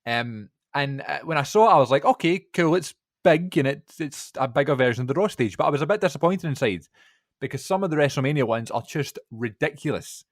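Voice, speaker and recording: A man's voice: fairly high pitch, lively intonation, brisk, no echo, studio quality. A man, co-hosting a podcast, chatting eagerly and rambling with lots of fillers. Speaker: podcast co-host. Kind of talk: casual chat.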